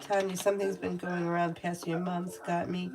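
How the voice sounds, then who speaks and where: A woman's voice: on the low side, little pitch movement, brisk, crowd noise. A woman giving tarot readings on a casual livestream, chatting candidly, swearing freely and calling viewers by name. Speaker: tarot reader answering viewer questions.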